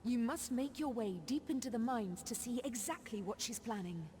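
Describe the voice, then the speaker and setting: An actress playing a solemn, foreboding sorceress in a fantasy video game, speaking in grave, formal, measured phrases. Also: female voice, a little high, lively intonation, dark tone, slight background noise, natural voice. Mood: ominous. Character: solemn, foreboding sorceress grieving over her wayward sister. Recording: long reverberant hall.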